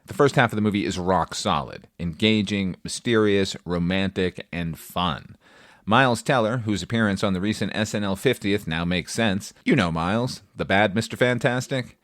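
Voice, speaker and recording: A man's voice: fairly low pitch, moderate pace, a bit bright, no room sound, clean studio sound. A man hosting a film review podcast, speaking in a wry, opinionated, sweary monologue. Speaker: podcast host, film critic.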